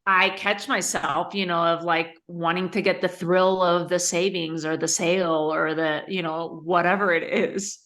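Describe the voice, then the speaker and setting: A woman raised in Spain who is a guest on a podcast. Her voice is bright and sharp. She answers reflectively, pausing and correcting herself as she works through her thoughts. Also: fairly low pitch, fairly even intonation. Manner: thoughtful, hesitant, with fillers and self-corrections. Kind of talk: podcast interview.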